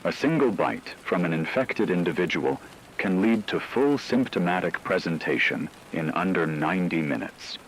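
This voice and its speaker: A man playing a grave radio news anchor in an apocalypse drama, delivering scripted bulletins in a formal, measured, clinical manner. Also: low-pitched, slight background noise, lightly acted, poor audio.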